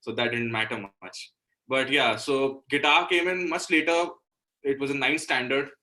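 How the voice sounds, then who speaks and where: A man's voice: medium pitch, steady tone, moderate pace, sharp tone, low-quality recording. A young man from Delhi with an Indian accent, talking casually and self-deprecatingly as a musician guest in an interview. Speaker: interview guest, singer-songwriter and guitarist.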